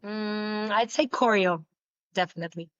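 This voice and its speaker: A young woman whose native language is Spanish, answering interview questions casually, with many halting restarts. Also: mid-range voice, a bit bright, no room sound, muffled recording. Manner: halting, repeats words, laughs often. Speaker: guest, pole dancer.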